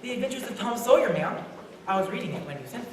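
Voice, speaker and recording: A woman playing an eager, naive apprentice angel in an old-time radio play, earnest and excitable. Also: a little high, quick pace, natural voice. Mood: eager, deferential. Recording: very echoey, noisy stage from far off.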